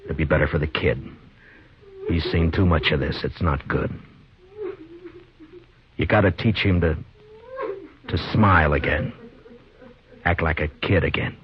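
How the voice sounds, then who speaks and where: An American actor playing a weary, firm but kind Old West marshal in a 1950s radio drama. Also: male voice, very deep voice, steady tone, a little slow, pauses often, a crowd in the background. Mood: gentle, advising. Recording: muffled vintage 1950s radio, music behind.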